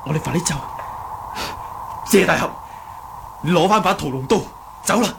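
An actor playing a young, decisive and caring martial arts hero in a Hong Kong Cantonese radio drama, speaking earnestly and with command. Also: male voice, sits high, animated, moderate pace, vocal fry. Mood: urgent concern. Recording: noisy radio drama sound effects.

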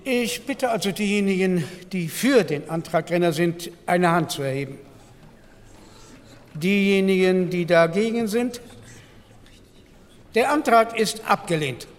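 Male parliament president, high voice, patient and official, procedural delivery, reverberant hall. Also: unhurried, long pauses. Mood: official, formal. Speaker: actor in a documentary radio play. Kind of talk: dramatised parliamentary debate.

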